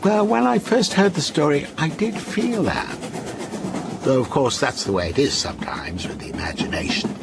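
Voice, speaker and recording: Teasing male storyteller, lively pitch. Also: gravelly, very noisy. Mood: eerie, probing. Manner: measured, coy, teasing. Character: genial, teasing, faintly unsettling male storyteller on a train. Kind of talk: radio drama acting, telling a ghost story.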